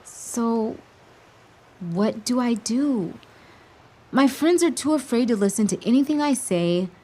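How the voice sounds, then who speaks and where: Teen girl leader: slightly high, lively pitch, earnest and full of self-doubt. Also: takes it slowly. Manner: earnest, unsure.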